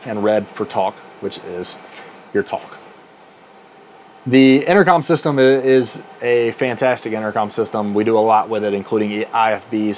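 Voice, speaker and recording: Low-voiced young man, humming room behind. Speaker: presenter, broadcast engineer. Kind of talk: informal technical explainer to camera. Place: small broadcast truck control room, indoors. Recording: clear air-conditioning hum.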